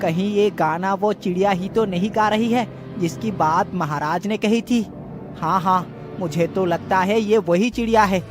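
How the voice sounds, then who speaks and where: A man's voice: sits high, measured pace, over music. A man voicing a humble, superstitious bird-catcher in a cartoon story. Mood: curious, eager. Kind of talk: children's cartoon story voice acting.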